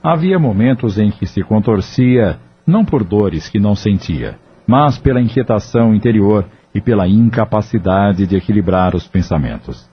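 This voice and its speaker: A man narrating an audio drama in a measured, even storytelling delivery. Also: on the low side, a little hiss or hum. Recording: dull lo-fi audio with soft music.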